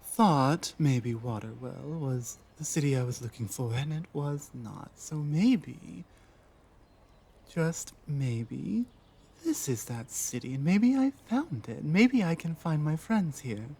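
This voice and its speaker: Casting: actress as lost orc warrior woman for ASMR roleplay; earnest, wary, unhurried, pausing often. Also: low voice, big pitch swings, creaky, mellow, slightly rough, exaggerated voice. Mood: confessional, hopeful. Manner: halting, earnest, deliberate phrasing with pauses. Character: lost, guarded young female orc warrior, earnest and lonely. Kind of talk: ASMR roleplay audio drama.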